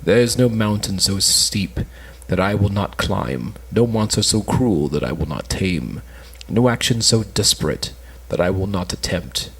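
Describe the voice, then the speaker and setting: A man's voice: deep voice, lively intonation, unhurried, hardly any pauses, a bit bright, husky, full character voice, rough recording. A man playing a lovesick, melancholy suitor, earnestly declaiming in an amateur cold reading of an old stage play. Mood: yearning, sorrowful.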